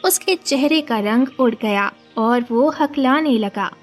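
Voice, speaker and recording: A woman's voice: high voice, slow, soft background music. A woman narrating a moral tale as a scripted storyteller.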